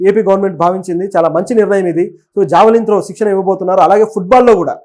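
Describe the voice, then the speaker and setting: A man's voice: high voice, steady tone, very fast, darkish tone. A man giving conversational news commentary as a web presenter, explaining and offering opinions, with English words mixed in. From Telugu states of southern India.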